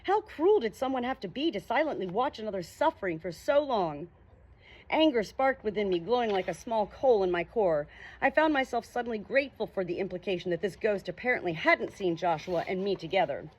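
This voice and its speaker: A woman's voice: mid-range voice, animated, a little fast, low-quality recording. A woman narrating an audiobook novel dramatically in the first person.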